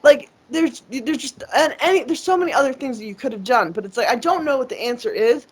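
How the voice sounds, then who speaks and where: A woman's voice: high-pitched, animated, brisk, husky. A woman guest in a casual interview, talking in a rambling, profane way with lots of fillers and restarts. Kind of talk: casual conversation.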